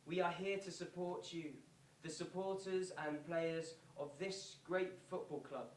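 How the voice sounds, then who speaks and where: An actor playing an earnest male pop star making a slow, pleading, repetitive theatrical speech to stadium football fans. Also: on the high side, fairly even intonation, close to an everyday voice. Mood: solemn, hopeful. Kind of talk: theatrical public speech, reenacted from quoted address. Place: indoor performance venue, recorded from a distance. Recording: roomy, distant, noisy live theatre recording.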